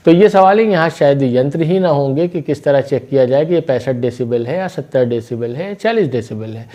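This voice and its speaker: Male local news presenter, soft-edged mellow tone, mid pitch, explains step by step conversationally. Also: expressive, fairly quick.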